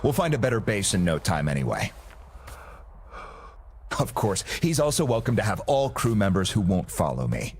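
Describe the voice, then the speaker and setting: Smug arms-trade kingpin for a game: lowish, hugely animated, urbane, businesslike, selling grand visions. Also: male voice, moderate pace, pauses often, slightly rough, heavily acted. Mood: smooth, persuasive. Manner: urbane, self-satisfied, theatrical, businesslike. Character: smooth, grandiose arms-dealer boss with empire ambitions. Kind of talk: video game cutscene acting.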